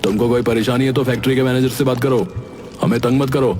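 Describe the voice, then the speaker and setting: A man's voice: mid-range voice, steady tone, fast talker, darkish tone, close to an everyday voice. Man playing a brusque cartoon factory worker. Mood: threatening. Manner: brusque, bullying. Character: gruff threatening male factory worker dumping waste. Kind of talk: animated cartoon character acting. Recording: music bed under the voice.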